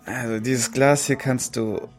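A man playing a tipsy, bookish friend in a radio play, playful and stubborn, rambling slowly. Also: mid-range voice, fairly even intonation, faint music behind, close to an everyday voice. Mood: tipsy musing.

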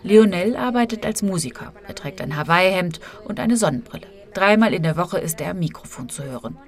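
A woman's voice: lowish voice, animated, a little fast. A woman reading a scripted radio feature as a correspondent, narrating and voicing translations of quotes.

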